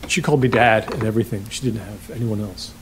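An actor playing a lonely, awkward middle-aged rental host who rambles haltingly and repeats himself in a naturalistic audio drama. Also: male voice, lowish voice, background noise, close to an everyday voice. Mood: subdued, halting.

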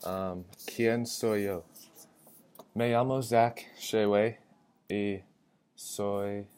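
An eighteen-year-old American man, a native English speaker, giving a halting self-introduction in learner's Spanish and searching for words. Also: fairly low pitch, steady tone, darkish tone, smooth. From Atlanta, United States. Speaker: college student. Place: indoor room with tall windows. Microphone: webcam framing with wired earphones.

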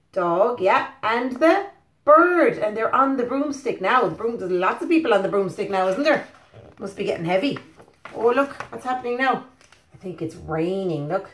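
A woman with an Irish accent reads a picture book to young children, animated and encouraging, often asking them questions. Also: medium pitch. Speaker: preschool teacher. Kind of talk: interactive storybook reading for young children. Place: living room indoors. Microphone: phone at about arm's length. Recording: roomy home phone recording.

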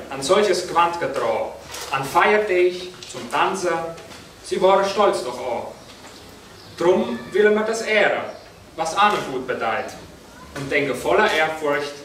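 Lively Banat Swabian man reciting verse. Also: fairly high pitch, measured pace. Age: young adult. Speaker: poetry reciter. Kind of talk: reciting a dialect poem. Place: large hall with audience. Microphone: far camera. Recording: roomy hall from far off, noise.

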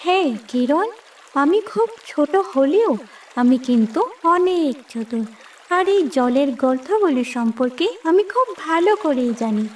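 Lady doing a tiny clever cartoon water animal, high and earnest, bit far off mic. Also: expressive, a little slow, a little mellow, slight background noise. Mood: eager, confident. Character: tiny clever water creature, eager, careful little helper. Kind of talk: animated fairy-tale cartoon voice acting. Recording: distant voice with music bed.

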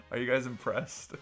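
A man commentating a video game in casual banter with friends, joking and excitable. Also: mid-range voice. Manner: jokey, excitable. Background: game music.

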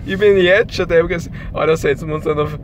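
A man's voice: fairly high pitch, fairly even intonation, quick pace. A young man with an Austrian accent, chatting casually in a moving car, rambling and joking with mock complaints. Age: young adult. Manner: self-mocking, joking complaints. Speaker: vlogger filming from the passenger seat. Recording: loud car and road noise. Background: engine and traffic noise.

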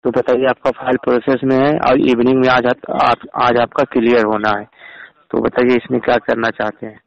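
A man's voice: medium pitch, level tone, normal speed, vocal fry, dry recording. A man on a recorded phone call, insistently persuading like an official and mixing in English words. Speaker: phone caller posing as an official. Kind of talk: persuasive sales-like phone pitch.

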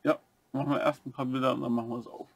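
A man co-hosting a building-set review, explaining the features step by step in a relaxed casual way. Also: mid-range voice, very fast, vocal fry, slightly rough, dry recording. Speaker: co-host of a brick-building review channel.

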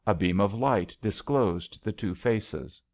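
A low-voiced man reads a romantic story aloud like an audiobook narrator. His delivery is unhurried and very animated, with a gravelly creak, on a slightly dull recording. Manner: measured, dramatic storytelling. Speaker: audiobook reader.

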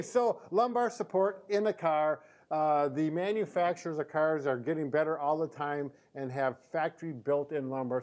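A man's voice: a little high, darkish tone. An American man in his fifties giving a casual, conversational instructional demonstration as a physical therapist. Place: indoor therapy room.

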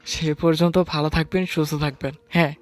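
A man's voice: on the high side, steady tone, fairly quick. A man narrating a dramatized first-person love story and voicing its affectionate young husband. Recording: music under the voice.

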